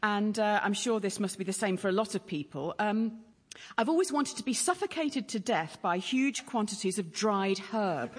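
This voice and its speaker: A woman's voice: mid-range voice, lively intonation, moderate pace, slight background noise. A woman improvising a comedy sketch in a prim, matter-of-fact manner. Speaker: panellist. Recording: live hall with audience.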